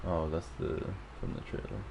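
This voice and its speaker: A man giving casual live commentary as he streams a video game. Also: normal speed. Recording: game audio and noise behind voice. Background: game sound, noise.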